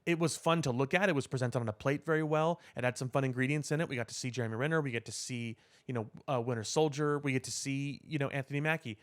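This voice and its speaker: A man co-hosting a podcast, talking casually about pop culture in halting, deliberate, explanatory phrases. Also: medium pitch. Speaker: podcast co-host.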